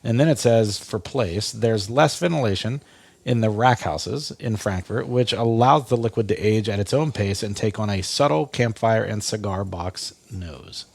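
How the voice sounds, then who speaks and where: A man's voice: on the low side, normal speed, vocal fry. A man hosting a podcast in casual chat, slightly stuffy and nasal, rambling through stories with many restarts. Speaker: podcast host.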